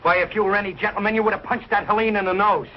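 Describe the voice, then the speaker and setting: A man's voice: high voice, steady tone, rapid-fire, natural voice. An actor playing a middle-aged, big-hearted, blundering working-class American father in a 1940s sitcom, with colloquial, ungrammatical speech. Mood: indignant. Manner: colloquial, ungrammatical, plain-spoken. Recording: dull old broadcast with hiss and audience.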